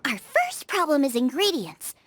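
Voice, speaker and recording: A woman's voice: high voice, wildly swooping pitch, moderate pace, noisy background. A woman voicing an upbeat, bossy young girl chef who gives eager instructions, in a game. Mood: instructive. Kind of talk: game voice acting. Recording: game soundtrack under voice.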